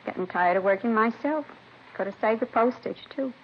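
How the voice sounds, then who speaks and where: A woman's voice: fairly high pitch, brisk, lightly acted. An actress playing a plain-spoken, chatty older woman neighbor, wistful and grumbling, in a vintage radio crime drama. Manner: chatty, matter-of-fact, complaining. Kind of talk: 1940s-50s radio crime drama acting. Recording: noisy, dull vintage radio recording.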